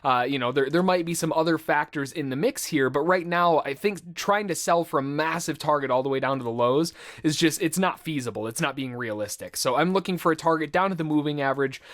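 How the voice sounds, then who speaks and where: A man's voice: a little high, brisk, a bit bright. A man working as a trading instructor, giving informal, explanatory live commentary full of trading jargon in a conversational way.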